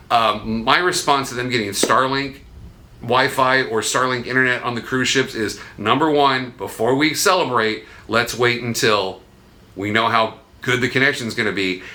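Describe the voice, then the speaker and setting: A man's voice: mid-range voice, sharp tone. A man hosting a vlog, explaining things casually and conversationally, sometimes asking himself questions aloud. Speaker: vlog host.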